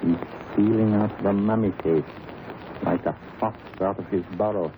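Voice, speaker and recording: A man playing a commanding, assured gentleman detective in a 1930s radio drama. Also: lowish voice, fairly even intonation, moderate pace, close to an everyday voice. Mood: tense, hushed. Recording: tinny, hissy 1930s radio recording.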